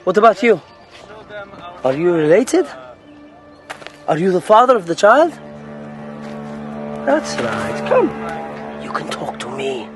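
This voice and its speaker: A man's voice: sits high, sing-song, slow pace, long pauses, over music, full character voice. An actor playing a polite, insistent middle-aged merchant and father in a naturalistic audio drama, speaking a little hesitantly. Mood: coaxing. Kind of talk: naturalistic radio drama acting. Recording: noisy outdoor street ambience.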